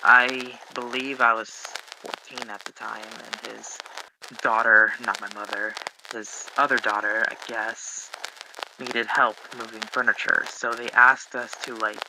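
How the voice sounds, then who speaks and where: A man playing a polite, unsure young radio guest who haltingly recounts his memories in a staged interview. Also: on the low side, little pitch movement, creaky. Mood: polite, hesitant. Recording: crackly, noisy radio-style recording.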